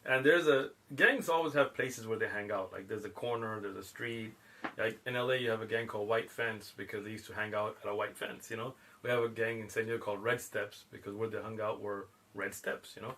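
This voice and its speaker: A man's voice: on the low side, fairly quick, a bit bright, slight background noise. A middle-aged man, born in Pakistan, telling stories in a casual chat and laughing often. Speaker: guest. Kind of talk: casual storytelling.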